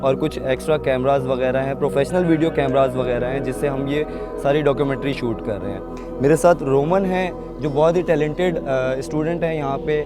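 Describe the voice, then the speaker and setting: A man's voice: medium pitch, a little fast. A man giving an informal on-location report to camera. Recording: live music playing behind the voice. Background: background music from a musician beside him.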